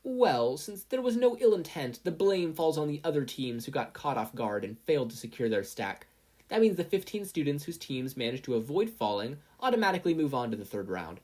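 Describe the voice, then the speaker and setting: A woman's voice: low voice, sing-song, a little fast, darkish tone, husky, voice pushed up, heavily acted. A woman voicing a bold, commanding female hero referee in an audiobook-style story, projecting like an announcer. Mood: brisk, unapologetic.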